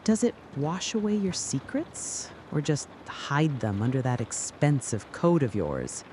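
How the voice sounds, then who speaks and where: A narrator delivering a scripted, dramatic late-night soliloquy in a deliberate, brooding way, speaking straight to the listener. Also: medium pitch, sing-song, darkish tone, a little hiss or hum. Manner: slow, deliberate, brooding second-person address.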